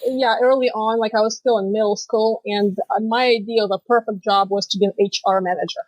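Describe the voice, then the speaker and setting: A woman from Moldova with a Russian accent, native Romanian speaker, telling her story as an interview guest with lively storytelling. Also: mid-range voice, fairly quick, dry recording, lo-fi sound. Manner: lively storytelling, laughs easily. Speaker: guest, financial planner. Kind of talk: casual interview chat.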